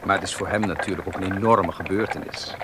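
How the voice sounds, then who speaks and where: A low-voiced young man played by a male actor in a radio drama, talking over clear vehicle noise. He sounds sensible and unworldly, with a measured conversational delivery. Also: fairly even intonation, close to an everyday voice. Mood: matter-of-fact. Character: level-headed, unworldly young man devoted to work. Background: vehicle sound effects.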